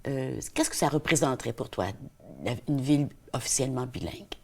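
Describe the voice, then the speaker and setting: A woman's voice: a bass voice, fairly quick, creaky. A middle-aged woman hosting a TV interview, chatty and prompting, often rephrasing her questions. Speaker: TV host. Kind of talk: TV interview, casual conversation.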